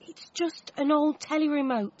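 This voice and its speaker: High-voiced exasperated wife played by a woman. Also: normal speed, natural voice. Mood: worried. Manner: pleading, exasperated. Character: worried, exasperated wife of a deluded man. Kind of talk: radio comedy drama acting. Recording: dull lo-fi radio, music underneath.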